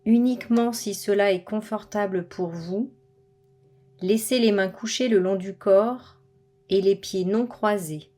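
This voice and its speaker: A woman guiding a body scan meditation with measured, scripted instructions and pauses between phrases. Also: medium pitch, dark tone. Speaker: meditation guide.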